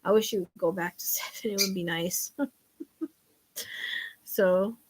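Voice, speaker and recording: A middle-aged woman with a loud voice, chatting casually with online viewers as a psychic show host, rambling and confiding and trailing off quietly at the ends of thoughts. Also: medium pitch, steady tone, a little slow, slightly rough, poor audio. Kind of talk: casual chat.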